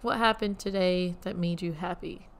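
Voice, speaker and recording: Calm lady podcaster, soothing lowish voice, smooth, guides you thru a quick meditation. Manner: gentle, talks listeners through a short guided meditation. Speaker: podcast host. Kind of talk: casual solo podcast talk. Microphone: USB studio microphone.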